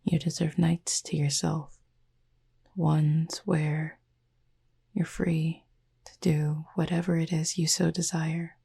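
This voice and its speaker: A woman playing a gentle, composed Cupid roommate in a sleep-aid ASMR roleplay, speaking softly and reassuringly in a breathy, creaky near-whisper with formal wording. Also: deep voice, flat delivery, slow pace, long pauses, full character voice. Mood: calm, reassuring.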